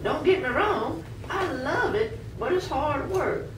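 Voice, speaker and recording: A kindly older woman, played by a woman in an amateur puppet show. She speaks slowly in a high voice, in an echoey room. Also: animated. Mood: friendly, reflective. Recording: echoey room, distant mic, faint noise.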